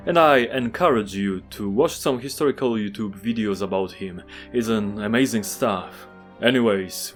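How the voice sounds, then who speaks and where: A man with a Polish accent, a native Polish speaker, reading a scripted game review in an earnest, measured way with wry asides. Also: on the low side.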